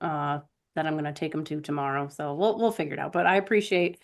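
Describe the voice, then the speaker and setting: A woman's voice: low-pitched, fairly even intonation, fast, vocal fry. A woman chatting casually as a co-host, telling rambling anecdotes and acting out bits of quoted conversation. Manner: rambling storyteller who acts out quoted conversations. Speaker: podcast co-host.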